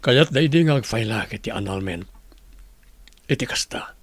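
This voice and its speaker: A remorseful husband in a radio drama, pleading earnestly. His voice is creaky and rough, of lowish-middle register, with an Ilocano accent. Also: animated, moderate pace, dry recording.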